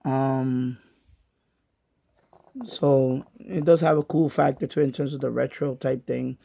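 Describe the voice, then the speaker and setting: A middle-aged man giving a casual, unscripted product review as a hobbyist, thinking aloud in a halting, repetitive way. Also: medium pitch, steady tone, vocal fry, dull audio. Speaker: radio hobbyist reviewing a portable radio.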